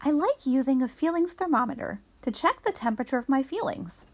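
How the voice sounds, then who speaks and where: A woman reading a children's picture book aloud in an expressive storytelling manner for young children. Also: high-pitched, brisk.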